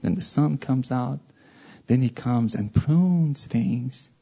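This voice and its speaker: Gravelly man with a Persian accent. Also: mid-range voice, slow. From Iran. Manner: animated, jokey asides. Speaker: preacher. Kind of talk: informal sermon.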